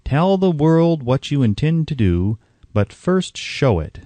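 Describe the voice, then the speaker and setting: A man reading an audiobook as a narrator, in a measured, instructive way with deliberate emphasis. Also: on the high side, big pitch swings, warm tone, no room sound.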